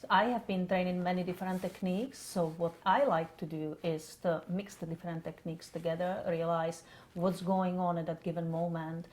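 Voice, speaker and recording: A middle-aged woman from the Czech Republic speaks with a Czech accent in a slightly low voice. She talks conversationally, as a practitioner being interviewed. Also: steady tone, a little fast, darkish tone. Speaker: interview guest, holistic health practitioner.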